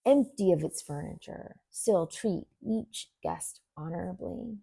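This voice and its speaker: A woman teaching yoga nidra, leading a guided meditation in a gentle, soothing manner with deliberate pauses. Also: lowish voice, animated, vocal fry, husky, no room sound.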